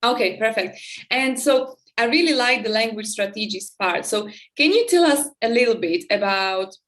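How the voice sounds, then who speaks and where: Woman podcast host, non-native English, chatty and upbeat, pitched a little high. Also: expressive, moderate pace, a bit bright. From Czechoslovakia. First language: not English; similar to Czech. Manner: enthusiastic, chatty, frequent restarts. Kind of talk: casual interview chat.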